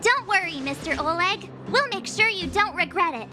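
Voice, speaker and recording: A woman's voice: extremely high pitch, lively intonation, moderate pace, music in the background. A woman playing a perky, eager teenage girl adventurer in a video game, upbeat in manner. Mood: reassuring.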